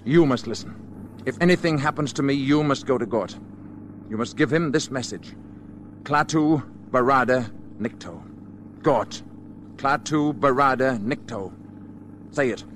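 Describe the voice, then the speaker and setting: An actor in an old radio drama playing a composed alien visitor in human form, solemn and measured. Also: male voice, medium pitch, a bit bright, slight background noise, close to an everyday voice. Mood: grave, insistent.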